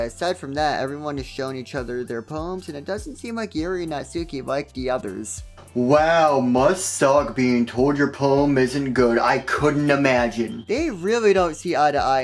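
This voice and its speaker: A man narrates a comedic game review in a mid-pitched voice. He tells the story in a scripted way and drops in dry, sarcastic asides. Music plays along behind him.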